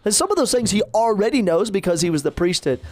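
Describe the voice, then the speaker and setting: A man's voice: sits high, sing-song, fast, a little mellow, no echo. A man, a parish priest chatting casually on a podcast, rambling with lots of fillers and restarts.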